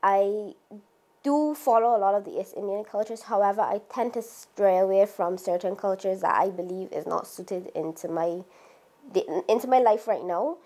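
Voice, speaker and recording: A young woman with a Barbadian accent gives a personal interview. Her voice sits at an average pitch for a woman. She speaks in the manner of someone describing her own life on camera. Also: normal speed, dark tone. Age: young adult.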